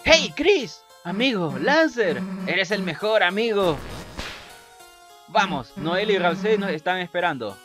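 A woman's voice: mid-range voice, sing-song, slow pace, a bit bright, faint music behind. A woman voicing a tough, brash teenage monster girl, blunt and urgent, in a video game fan dub. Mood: excited.

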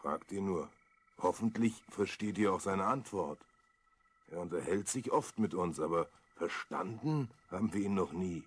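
A man's voice: low voice, lively intonation, moderate pace. A male actor playing a plain-spoken, wry ancient Athenian potter in a children's audio drama. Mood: wry, helpful. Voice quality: husky.

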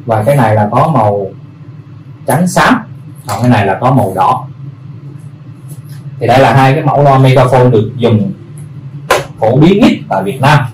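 A man's voice: mid-range voice, expressive. Man demonstrating products in a roomy, distant recording. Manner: explanatory, repetitive, halting with word searching. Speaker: product presenter. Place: indoor room full of audio equipment. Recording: roomy, distant mic, faint background noise.

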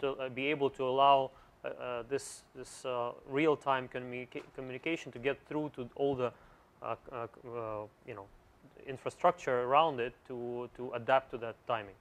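A male panelist speaks in a level, flat voice and stumbles through his points with frequent fillers. His delivery stays halting throughout. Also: mid-range voice. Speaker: conference panelist. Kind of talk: panel discussion.

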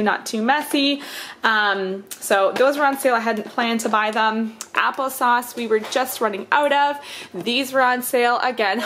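Chatty higher-voiced mom vlogger. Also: female voice, a bit bright, slight background noise. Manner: chatty, laughs often, says um and like. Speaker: vlogger, mother of five. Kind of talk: casual grocery haul chat. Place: home kitchen and living room. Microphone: handheld camera at arm's length.

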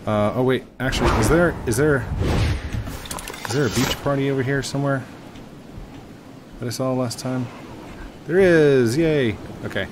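A man's voice: mid-range voice, moderate pace, pauses often, very noisy. A man in his late thirties, rambling and joking at himself while commenting on his gameplay into a headset mic. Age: 30s. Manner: rambling, self-mocking, chatty. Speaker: gaming YouTuber. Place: home room at a desk.

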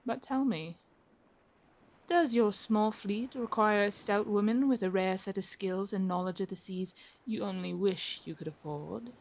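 A woman's voice: mid-range voice, a little slow, darkish tone, close to an everyday voice. A woman playing an assertive, wry captive pirate admiral in an ASMR roleplay, with a formal, theatrical, teasing delivery. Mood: proud, composed.

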